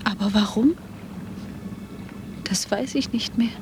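A woman's voice: mid-range voice, slow, over music. An actress playing a dreamy, bewildered, melancholy young woman in a German radio drama. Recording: clear background noise on radio play.

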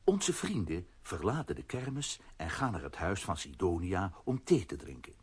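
A man's voice: deep voice, sing-song, moderate pace, husky. A man narrating a scripted children's audio drama as storyteller.

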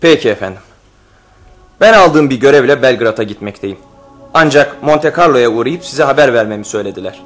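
A man's voice: mid-range voice, expressive, a little fast, fairly bright tone, soft background music, natural voice. A man acting in radio theatre as a polite messenger who reports news in a formal, respectful manner. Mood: formal, earnest. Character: polite, deferential male Caucasian messenger bearing news.

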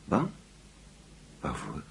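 An actor playing a weary, regretful old husband in a Dutch radio play, speaking in terse, questioning phrases. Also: male voice, extremely slow. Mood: guarded, questioning. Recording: faintly noisy old radio recording.

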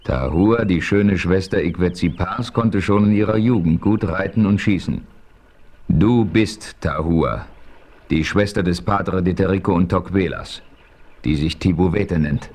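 Casting: steady hero-narrator, very deep, husky voice, calm and firm. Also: male voice, unhurried. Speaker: first-person narrator and hero. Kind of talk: 1970s radio play narration.

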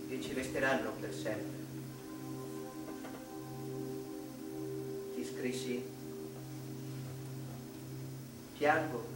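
An actor playing an anguished, defiant mathematician in a theatrical, declamatory stage monologue, with deep emotion. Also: male voice, close to an everyday voice. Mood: hushed grief. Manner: declamatory, emotional, dramatic delivery. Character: anguished male mathematician, defiant, tender and grieving. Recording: very echoey, distant stage recording, faint noise.

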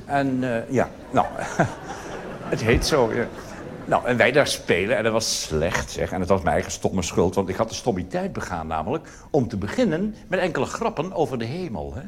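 A man's voice: on the low side, expressive, moderate pace. A male cabaret performer delivering a live comedy monologue in a conversational, rambling way with asides and tag questions. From Netherlands. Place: on stage in a theatre before an audience. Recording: noisy old live theatre recording.

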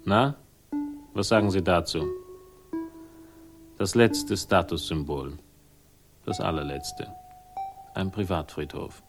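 An actor playing a wry, world-weary private investigator in a radio crime drama, speaking in clipped, ironic, teasing phrases. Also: male voice, low-pitched, takes it slowly, long pauses, music in the background. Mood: dry. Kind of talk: 1960s German radio crime drama, dialogue and narration.

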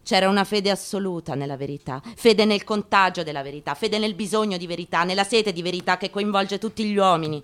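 A woman reading literary prose dramatically, in deliberate, emphatic short phrases. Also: medium pitch, a bit bright. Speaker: stage actress reading from a book. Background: music behind the voice.

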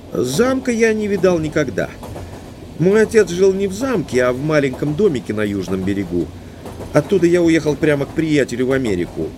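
An actor playing an eager young baronet, heir to ancestral moors, in audio drama dialogue. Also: male voice, fairly high pitch, background noise.

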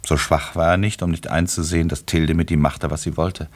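A wry, composed storyteller with an extremely deep male voice. The tone is husky and the delivery measured. He reads literary narration with dry irony. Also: flat delivery.

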